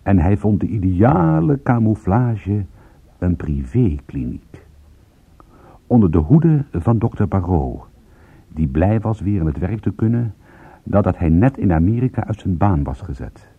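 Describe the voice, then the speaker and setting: A wry private detective in a radio play, with a very husky voice that moves freely up and down. He tells his case in a measured, dryly amused way. The recording sounds narrow and phone-like, like old radio. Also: deep voice, sing-song, dry recording, full character voice. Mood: dry, matter-of-fact. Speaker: narrator and lead actor. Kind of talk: radio drama narration.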